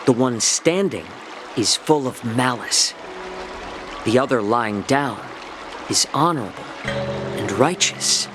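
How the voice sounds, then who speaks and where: An actor playing a genial, sly male healer in a video game, with a polite, wordy, gently teasing manner. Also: mid-range voice, lively intonation, takes it slowly, long pauses, music in the background. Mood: protesting, persuasive. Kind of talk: game cutscene character acting.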